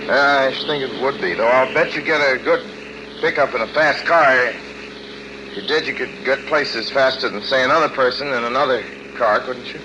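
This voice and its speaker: A man's voice: mid-range voice, moderate pace, lightly acted. An actor playing a haunted, increasingly frantic driver in 1940s radio suspense, earnest and intense in his dramatic delivery. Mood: uneasy, probing. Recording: noisy, dull 1940s radio recording.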